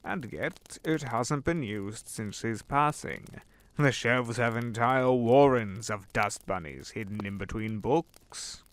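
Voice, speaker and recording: An actor playing an ancient, suave devil posing as a gentleman, genteel and condescending in an audio drama. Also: medium pitch, takes it slowly. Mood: genial. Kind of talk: fan audio drama acting.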